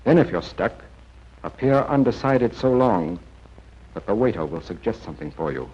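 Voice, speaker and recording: A man playing a seasoned, assured intelligence briefing officer in a 1940s training film, giving measured, plain-spoken advice. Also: fairly low pitch, sing-song, a little mellow. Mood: assured, advising. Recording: muffled 1940s film soundtrack.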